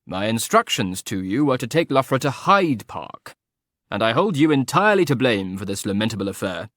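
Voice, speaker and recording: A man reading a lazy, ironic aristocratic gentleman who drawls his words dryly, in an audiobook. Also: medium pitch, big pitch swings, moderate pace, dry recording, clean studio sound. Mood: drawling.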